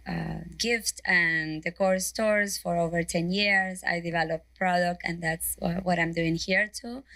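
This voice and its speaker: A woman's voice: lowish voice, pushed and bright, dry recording. Argentinian woman introducing herself on a panel. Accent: Argentinian Spanish. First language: Spanish. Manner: hesitant, unhurried, with fillers. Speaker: panelist, decor company director. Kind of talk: self-introduction on a trade panel. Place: conference panel.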